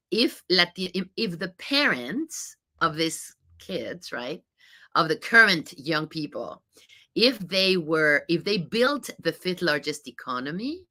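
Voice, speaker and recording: A woman's voice: sits low, sharp tone. A middle-aged woman from Mexico with a Mexican Spanish accent, giving an informal talk full of statistics, stressing her points and often adding "you know" and "right?". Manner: emphatic, repeats key points, frequent "you know" and "right?". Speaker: think tank CEO speaker. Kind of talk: informal presentation with data.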